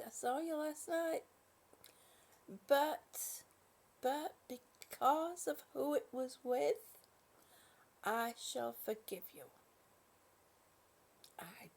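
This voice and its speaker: Slow, gravelly high-voiced British woman. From British, born in Singapore. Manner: affectionate greetings to viewers, calls them honey and sweetie; slow, soft, trailing off into whispers. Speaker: livestream host chatting with viewers. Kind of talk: casual live chat. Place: at home in bed.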